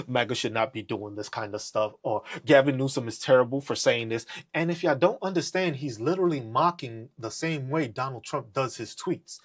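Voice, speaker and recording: A man's voice: mid-range voice, slightly rough. A man hosting a podcast, ranting in a profane, mocking and confrontational way. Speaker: podcast host. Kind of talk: live political rant.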